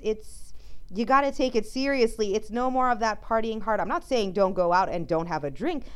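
A woman hosting a podcast, chatting casually and emphatically as she reads from an article, her voice going a little hoarse. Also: a little high. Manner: rambling, emphatic, with frequent fillers and restarts. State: losing her voice. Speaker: podcast host. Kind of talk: casual solo talk, reading and reacting to an article.

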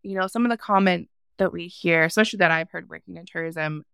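A woman's voice: lowish voice, fast talker, creaky, fairly bright tone, smooth, no echo, clean studio sound. A young woman from Hawaii, a farmer guest talking in a casual interview, thoughtful and full of "um" fillers.

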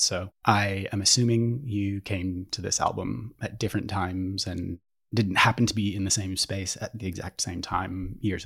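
A man hosting a music podcast, asking loose, halting questions with "uh" fillers. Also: deep voice, gravelly. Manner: relaxed, hesitant questions with "uh". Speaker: podcast host, interviewer. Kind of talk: podcast interview.

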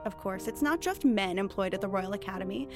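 A woman's voice: mid-range voice, lively intonation, brisk, music in the background, natural voice. An actress playing a cunning, bookish thief posing as a witch in an audio drama, articulate, mock-courteous and teasingly self-assured. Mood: sly, explanatory. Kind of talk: audio drama acting, period dialogue.

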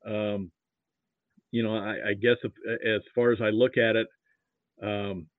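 An American man from Nebraska chatting casually about sports as a guest, haltingly repeating words as he thinks. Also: fairly low pitch, level tone, no echo. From Nebraska, United States. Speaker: podcast guest, sports fan. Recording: muffled phone-like line.

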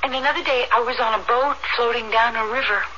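A woman's voice: on the high side, steady tone, fast talker, sharp tone. An actress playing a troubled, dreamy young woman, confused and pleading, in a radio drama. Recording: dull, very noisy vintage radio recording.

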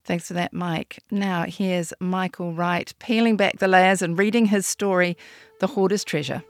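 A woman's voice: on the low side, steady tone, a little slow, no room sound. A woman hosting a podcast in a conversational interview style. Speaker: podcast host.

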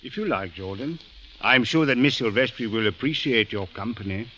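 An actor playing an authoritative police captain who speaks in a measured, controlled way. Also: male voice, mid-range voice, bright, forceful tone, a little hiss or hum, close to an everyday voice. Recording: dull old radio recording.

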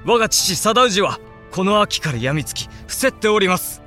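A man's voice: high-pitched, big pitch swings, normal speed, bright, forceful tone, soft background music, full character voice. A man acting an earnest young samurai heir in a period voice drama, urgent, formal and emphatic. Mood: pleading.

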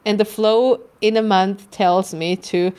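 A woman's voice: mid-range voice, fairly even intonation, a little slow, a little mellow, clear, resonant tone. A woman with an Austrian accent, co-hosting a casual podcast chat, rambling with restarts and the odd swear word. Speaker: podcast co-host.

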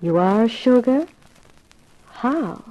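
A woman's voice: on the high side, expressive, extremely slow, mellow, rough recording. A woman playing a flirtatious, coaxing gambling club hostess in a 1950s radio drama. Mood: coaxing, sly. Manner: coaxing, familiar.

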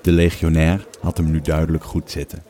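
A man's voice: a bass voice, completely flat delivery, a little fast, mellow. A man acting as the composed storyteller of a Roman tale, narrating a scripted audio drama in the third person. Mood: measured narrating.

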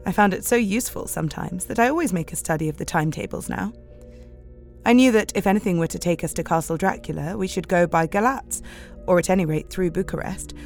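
A woman's voice: mid-range voice, darkish tone, close to an everyday voice. A woman playing a keen, well-organised Victorian wife, speaking precisely and politely in an audio drama.

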